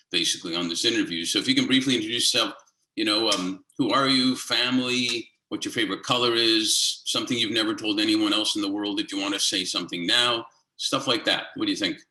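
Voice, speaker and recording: A bright, forward male voice with noticeable room echo hosts a casual interview. He is on the low side in pitch and chats informally, taking his time to build up to each question. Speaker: interviewer, host. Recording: echoey room sound.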